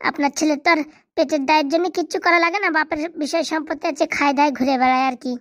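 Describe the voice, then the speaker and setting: A performer with a high voice playing a cheeky, brash schoolboy in a Bengali comedy cartoon, with a colloquial Bengali accent. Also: steady tone, rapid-fire, a bit bright, no echo, clean studio sound. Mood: reassuring.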